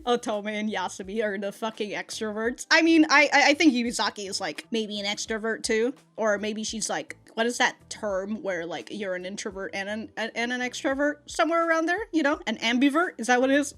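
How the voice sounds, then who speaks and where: A woman's voice: fairly high pitch, fairly quick, hardly any pauses, a bit bright. A woman giving casual, off-the-cuff reaction commentary in a slangy, profane, giggly manner. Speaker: anime reaction reviewer.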